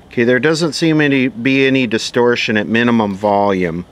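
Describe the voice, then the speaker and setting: A man's voice: medium pitch, moderate pace, faint music behind. A man giving casual, off-the-cuff workbench narration as a repair video host, rambling and muttering with a sardonic streak.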